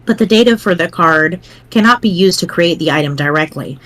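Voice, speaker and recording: A woman voicing a pedantic, precise young explainer in a dramatic reading, formal, wordy and measured. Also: on the low side, lively intonation, fairly bright tone. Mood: dry, instructive.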